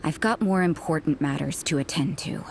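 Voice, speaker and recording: A woman's voice: deep voice, normal speed, background noise. A woman voicing an aloof, sardonic young church sister in a video game, dry and cutting. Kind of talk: video game cutscene dialogue.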